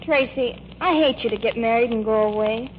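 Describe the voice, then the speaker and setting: An actress playing an eager, blunt, tattling little sister in a 1940s radio comedy, with a childlike, artless manner. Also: female voice, a little high, fairly quick, close to an everyday voice. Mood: eager, teasing. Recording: muffled, hissy old radio broadcast.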